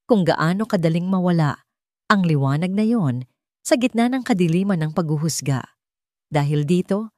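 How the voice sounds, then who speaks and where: A woman's voice: on the low side, no echo, natural voice. A woman narrator voices a composed, wealthy man speaking formally in an audio drama. He sounds earnest and controlled, in a dry, close recording. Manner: calm, controlled speechmaking. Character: composed, earnest wealthy husband addressing gala guests.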